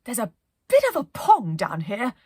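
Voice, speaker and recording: A woman's voice: a little high, huge pitch swings, normal speed, darkish tone, breathy edge, no echo, studio quality, exaggerated voice. A woman voicing a plucky, bossy, cheerful female pilot who leads her crew in a children's story, with hearty old-fashioned phrasing. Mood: brisk, determined.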